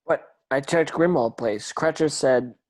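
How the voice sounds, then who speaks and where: A man's voice: on the high side, measured pace. A man playing a troubled, distrustful teenage boy wizard in a script read-through, in a plain, questioning manner. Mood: defensive.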